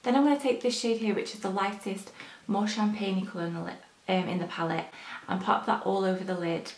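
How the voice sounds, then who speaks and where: A young woman chats casually while applying makeup, rambling and filling pauses with ums. Her voice is a little hoarse from the early morning. The sound is roomy and echoey, as in a bare bedroom. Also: medium pitch, steady tone. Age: young adult. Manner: chatty, rambling, frequent ums. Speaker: beauty vlogger.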